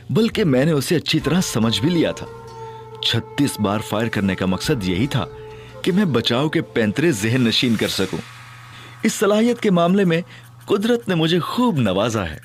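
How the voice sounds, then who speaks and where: A man's voice: medium pitch, close to an everyday voice. A man as a cocky, joking young detective. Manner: playful, teasing, theatrical. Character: cocky, joking young male detective and first-person narrator. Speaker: Ali Imran. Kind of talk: audio drama acting and narration.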